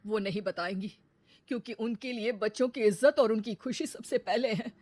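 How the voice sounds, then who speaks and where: A woman's voice: on the high side, normal speed, darkish tone, no echo, close to an everyday voice. A woman voicing an earnest young married man, a firm but caring son, in an acted story.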